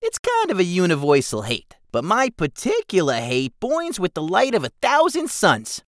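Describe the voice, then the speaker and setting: A man voicing a big, bald, complaining male goblin in a storybook reading, with a high, squeaky voice and a whining, rambling manner. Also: wildly swooping pitch, normal speed, no room sound, clean studio sound. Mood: whiny rambling. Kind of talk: audiobook character voice.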